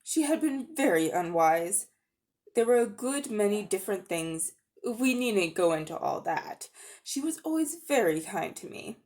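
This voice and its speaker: A woman's voice: medium pitch, sing-song, unhurried, darkish tone. A woman voicing a pompous, self-important older uncle who dabbles in magic, with a grandiose, condescending manner, in a storybook reading. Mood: evasive, indulgent.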